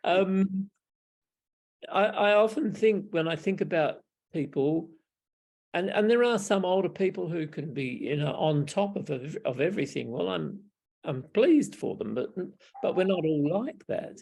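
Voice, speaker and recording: An older man with an Australian accent speaks over a home webcam call. His voice is gravelly and a little husky, his delivery reflective and wry, broken by restarts and small chuckles. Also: high-pitched, animated, unhurried, a little mellow. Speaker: Buddhist monk. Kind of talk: casual storytelling on a video call. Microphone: video call webcam.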